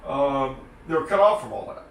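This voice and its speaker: A man's voice: mid-range voice, lively intonation, moderate pace, vocal fry. A man whose first language is French, giving dry, sarcastic, wide-ranging answers as an interview guest. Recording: echoey room, distant mic, faint noise.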